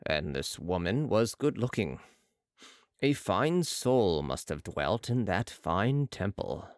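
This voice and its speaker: A reader voicing a solemn, mysterious Italian master who speaks gravely and quietly in an audiobook. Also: deep voice, sing-song, slow pace, no echo, clean studio sound, voice pushed down, heavily acted. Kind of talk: audiobook character reading.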